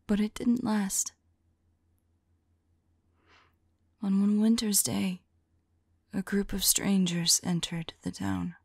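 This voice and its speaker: ASMR roleplay: ancient female vampire played by a woman, tender and sorrowful, mellow whispery voice with some creak, intimate and hesitant. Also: mid-range voice, dry recording. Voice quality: soft, breathy, whispery. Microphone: close microphone. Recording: close, dry microphone.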